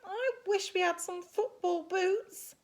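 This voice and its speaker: Woman putting on an excitable high-pitched little girl spider. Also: takes it slowly, full character voice. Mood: earnest. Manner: wailing, exclamatory.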